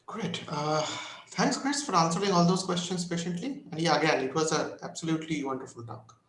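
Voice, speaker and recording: Male moderator, polite and hesitant with fillers, slightly high voice, roomy echo. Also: fairly quick, a bit bright, a little hiss or hum. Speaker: session moderator. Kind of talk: hosting remarks. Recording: echoey room.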